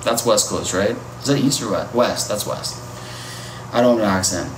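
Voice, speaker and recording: A man's voice: fairly low pitch, moderate pace. Chatty man on a noisy echoey livestream. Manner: chatty, reacting to viewer comments. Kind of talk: casual live-stream chat. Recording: echoey with loud ambient noise.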